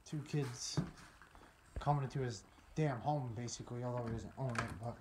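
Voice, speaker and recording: A man's voice: mid-range voice, moderate pace. A young man giving casual, nervous, sweary commentary while exploring inside a house with a handheld camera. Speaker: video host exploring abandoned houses. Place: inside a cluttered abandoned house. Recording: noisy handheld camera recording.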